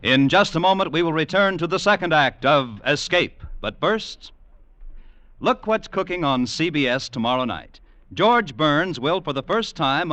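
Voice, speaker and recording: A man's voice: a little high, fairly bright tone, no room sound. An American man reading a scripted promo as a network announcer in mid-century radio style.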